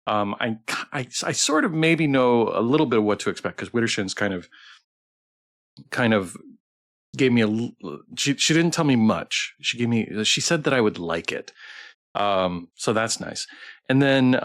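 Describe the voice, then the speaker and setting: A man's voice: mid-range voice, expressive, measured pace, fairly bright tone. A tired man hosting a game livestream, chatting casually with viewers in a hesitant, rambling way with repeated phrases and restarts. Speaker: livestream host playing a visual novel. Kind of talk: casual chat with stream viewers.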